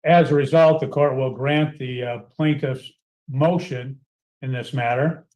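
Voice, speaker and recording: A man presiding as judge, working through rulings one at a time. His voice sits at an ordinary pitch, and his delivery is formal and measured. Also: fairly even intonation, darkish tone, rough recording. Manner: measured, formal, reads out orders. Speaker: judge in a court hearing.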